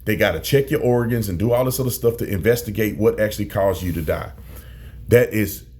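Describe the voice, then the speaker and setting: A man's voice: on the low side, a little fast, a little mellow. An American man giving opinion commentary to viewers, emphatic, repeating and stretching key phrases for weight. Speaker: commentator, former police officer.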